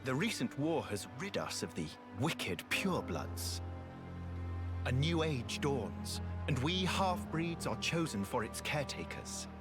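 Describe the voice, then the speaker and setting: A man's voice: mid-range voice, very animated, unhurried, over music. Florid, fawning courtly guardsman. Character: obsequious, scheming ex-royal guardsman, silky and haughty. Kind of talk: video game voice acting.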